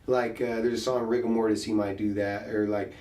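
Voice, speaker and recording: Slangy host in a roomy space. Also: male voice, on the low side, brisk. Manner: relaxed, slangy, talks through ideas out loud. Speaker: podcast host and rapper. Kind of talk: casual conversation. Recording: roomy sound, mic set at a distance.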